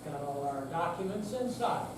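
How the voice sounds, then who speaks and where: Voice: medium pitch, expressive, normal speed, close to an everyday voice. An older reader playing an affable, stubborn elderly husband in a staged reading, mildly protesting and evasive. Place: senior center hall, live performance. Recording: echoey room, distant mic, loud noise.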